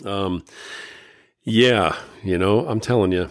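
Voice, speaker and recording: Middle-aged male podcast host, deep voice, dry close sound, slight rasp, folksy. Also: takes it slowly, dry recording. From Indiana, USA. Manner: folksy, earnest, many fillers and restarts. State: slightly raspy voice. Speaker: podcast host and life coach. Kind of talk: casual solo podcast talk.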